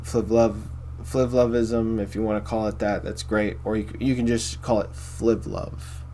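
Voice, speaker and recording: Rambling baritone man, low-voiced host. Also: level tone, moderate pace, warm tone, a little hiss or hum. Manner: rambling, repeats phrases, many fillers. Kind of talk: casual philosophy monologue to camera.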